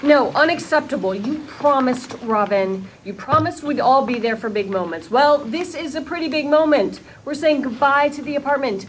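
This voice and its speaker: A woman's voice: sits high, expressive, moderate pace, few pauses. An actress playing a sentimental, insistent woman holding her friends together, in a sitcom table read. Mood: indignant. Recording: clearly noisy rehearsal room recording.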